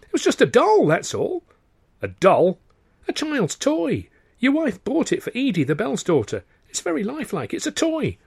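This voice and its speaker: A man's voice: a little high, big pitch swings, a little fast, slightly rough, dry recording, clean studio sound, pitched above the performer's own voice, heavily acted. A man voicing an earnest young male comedian who is nervous and eager, protesting in a flustered way.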